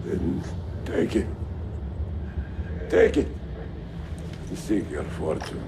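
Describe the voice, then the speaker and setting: A pompous, sweeping Byzantine villain lord. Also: male voice, very deep voice, sing-song, very slow, full character voice. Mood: weak, dying. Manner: pompous, oratorical, declamatory. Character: proud dying Byzantine Templar lord, grandiose villain. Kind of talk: dramatic video game cutscene acting. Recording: game audio with clear background noise.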